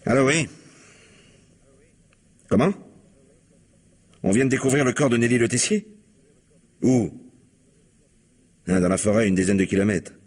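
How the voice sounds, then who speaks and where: A man playing a shrewd police commissioner in a French radio mystery in 1950s style, questioning briskly and insistently with pointed courtesy. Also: mid-range voice, a little mellow, slightly rough. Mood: surprised, brisk. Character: shrewd, probing middle-aged male police commissioner. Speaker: actor playing a detective.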